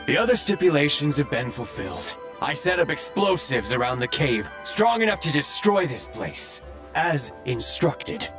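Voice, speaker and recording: A man's voice: on the high side, big pitch swings, normal speed, music in the background. An actor playing a scheming, smug henchman in a video game, with a theatrical, gloating manner. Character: scheming, smug male henchman loyal to his boss.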